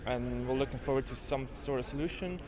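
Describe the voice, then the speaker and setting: A man's voice: medium pitch, fairly quick. A man from Belgium, answering interview questions in a friendly way with frequent ums. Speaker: interviewee, hosting company worker. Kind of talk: conference interview. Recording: busy crowd noise behind.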